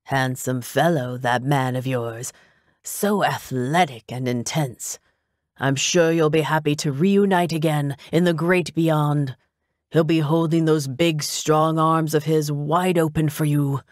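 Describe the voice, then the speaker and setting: Audiobook role: woman voices flamboyant, teasing man, slow lilting drawl, theatrical, close dry recording. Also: a little high, steady tone, dry recording, lightly acted. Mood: arch, admiring.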